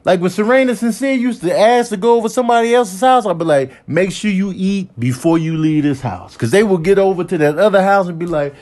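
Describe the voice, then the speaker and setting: A man's voice: high voice, a little fast, few pauses, darkish tone. A man giving casual, slangy comedic commentary as a parent, telling stories with mock indignation.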